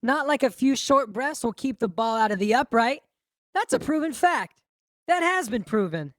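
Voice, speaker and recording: Audiobook role: taunting, boastful, unhinged son, acted by a woman; slightly high voice, dry sound. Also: animated, moderate pace, no room sound, natural voice. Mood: mocking, cocky. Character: cocky, taunting, unhinged son mocking his dad. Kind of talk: audiobook character voice.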